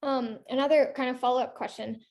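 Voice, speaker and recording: A woman's voice: sits high, steady tone, a little fast, a little mellow. A teenage girl interviewing a guest, asking polite questions with many likes and ums. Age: teens. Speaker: interviewer for a youth organization.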